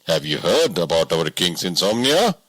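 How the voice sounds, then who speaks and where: A man voicing a calm, commanding king disguised as a farmer in an audiobook story. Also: low voice, moderate pace, no room sound, full character voice. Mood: calm, curious.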